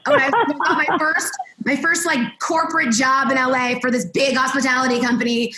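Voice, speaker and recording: A woman guest in casual podcast chat, with a loud, projecting voice and a candid, upbeat manner. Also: high-pitched, moderate pace, roomy sound, heavy background noise. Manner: candid, upbeat, laughs often.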